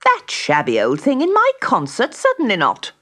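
A strict, proper lady piano teacher from a storybook , acted out by a woman. Her voice is pitched up and very animated, with brisk, emphatic delivery. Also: full character voice. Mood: disapproving.

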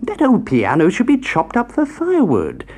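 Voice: mid-range voice, huge pitch swings, normal speed, a little mellow, slightly rough, pitched above the performer's own voice. A narrator voicing a shopkeeper's nagging wife in a children's storybook, in an emphatic, grumbling manner. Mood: scolding. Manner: scolding, emphatic.

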